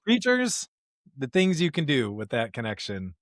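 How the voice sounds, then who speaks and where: A man's voice: mid-range voice, huge pitch swings, moderate pace, no room sound, studio quality. A man co-hosting a conversational podcast, talking like a preacher with emphatic, repeated phrases. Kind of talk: conversational podcast discussion with sermon-like passages.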